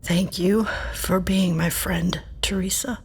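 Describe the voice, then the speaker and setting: A dying woman in a radio-style drama. Her voice is soft and breathy, with slow, halting phrases. She stays tender and a little teasing, with a stubborn streak. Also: on the low side, fairly even intonation, heavily acted. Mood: weak, tender. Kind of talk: audio drama acting.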